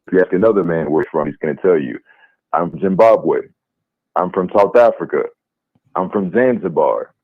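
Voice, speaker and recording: A man's voice: sits low, moderate pace, husky, no room sound. A man calling in to a debate show, arguing firmly and talking over the host. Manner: insistent, talks over the host. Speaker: caller. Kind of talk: call-in debate. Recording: tinny phone line.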